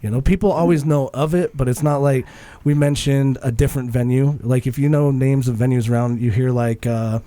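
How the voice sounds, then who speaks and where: A male podcast host with a mellow, darker voice, a bit nasal from a recent cold. He talks casually, joking and rambling as he chats with guests. Relaxed, unscripted podcast talk. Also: mid-range voice, brisk, few pauses, no echo. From Utah.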